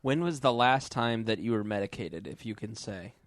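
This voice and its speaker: A man's voice: fairly low pitch, moderate pace, no echo, studio quality. A man hosting a podcast, asking questions in casual, irreverent banter with a blunt, joking manner.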